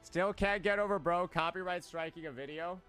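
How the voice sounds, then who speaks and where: A man's voice: sits high, normal speed, sharp tone, smooth, faint music behind. A man speaking on a stream in an emphatic, indignant way. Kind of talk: streamer talking on a clip.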